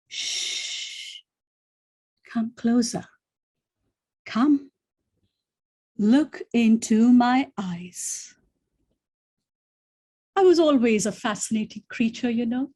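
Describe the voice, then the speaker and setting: A woman acts out a sinuous, self-admiring female creature in a stage monologue. Her fairly high voice moves very slowly, coaxing and seductive, as if luring someone closer. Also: warm tone.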